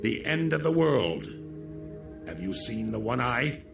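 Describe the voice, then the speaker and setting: A man's voice: mid-range voice, big pitch swings, unhurried, creaky. A man playing a grave, resolute Norse warrior scout in a video game cutscene. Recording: echoing, with loud game music.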